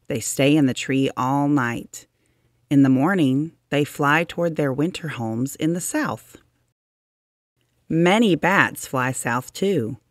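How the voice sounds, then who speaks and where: A storybook narrator reads nonfiction aloud for children at a slow, careful pace. The delivery is expressive, stretching key words for emphasis. The voice is recorded close and dry. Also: mid-range voice, no room sound. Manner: deliberate, expressive, with drawn-out emphasis. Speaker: narrator reading a children's science book aloud.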